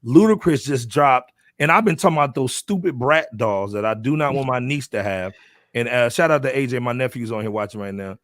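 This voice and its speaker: A man's voice: mid-range voice, brisk, a bit bright, dry recording. A man hosting a hip hop podcast in a casual, slangy, informal way, often punctuating his lines with "man". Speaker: podcast host.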